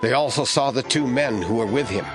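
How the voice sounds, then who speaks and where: A man reading scripted Bible narration as an expressive storyteller for an audio drama. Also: medium pitch, a little fast, over music.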